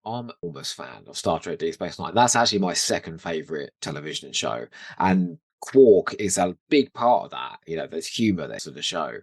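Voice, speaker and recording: British male podcast co-host, lively swooping pitch, enthusiastic and jokey, dry close sound. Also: creaky, husky, dry recording. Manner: enthusiastic, jokey, quick to laugh. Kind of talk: casual TV rewatch chat.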